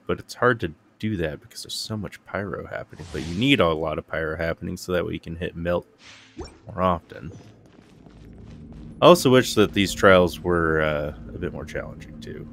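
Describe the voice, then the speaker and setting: A man with a low voice talks through a video game as he plays. It is casual commentary from a gaming host, with game music behind him. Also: a bit bright.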